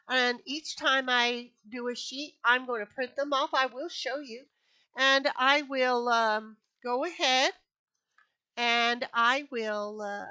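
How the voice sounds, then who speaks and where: A woman hosting a casual craft tutorial, chatty and a bit sniffly from allergies, often repeating herself and filling pauses. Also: high-pitched, pushed and bright, clean tone, dry recording. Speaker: craft channel host. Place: home craft room.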